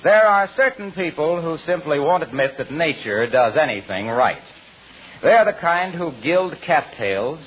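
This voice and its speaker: A man's voice: fairly high pitch, slight background noise. An American man announcing in scripted 1940s radio style, with a polished, emphatic salesman's delivery. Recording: narrow-band old radio recording.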